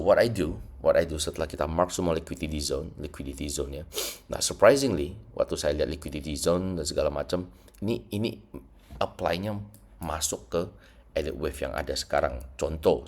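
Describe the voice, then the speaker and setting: Guy with a stuffed-up nose, super low voice, chill chart walkthrough, drops english trading words. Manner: mixes English trading terms into casual talk. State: congested nose. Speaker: trading teacher. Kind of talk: screen-share chart tutorial.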